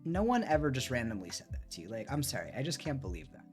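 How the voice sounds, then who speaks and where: A man with a mid-pitched, highly animated voice reads aloud for a stream. He moves between expressive narration and casual remarks, close on the mic, with soft music behind him. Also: quick pace. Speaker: streamer reading a book aloud.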